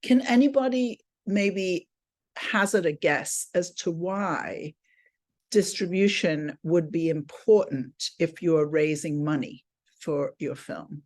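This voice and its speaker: Effusive woman teaching, slightly low voice. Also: takes it slowly, darkish tone. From England. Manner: effusive, exclamatory. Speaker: teacher of a film finance class. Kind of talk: informal online class teaching. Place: remote video call.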